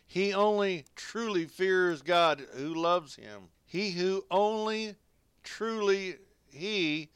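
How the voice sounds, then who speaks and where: A man delivers a radio sermon at an unhurried pace in a dry, close sound. His voice is very clean and resonant. He reads scripture and exhorts listeners directly, in homespun, colloquial language. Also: a little high, fairly bright tone, smooth, dry recording. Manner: folksy, colloquial phrasing. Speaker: radio preacher.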